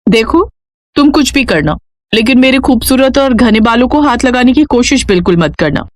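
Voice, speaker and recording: A woman's voice: a little high, expressive, normal speed, no echo, clean studio sound, voice pushed up, lightly acted. A woman voicing a vain, bossy young bride in a moral storybook tale, haughty as she orders her elders around. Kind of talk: Hindi moral storybook character voice.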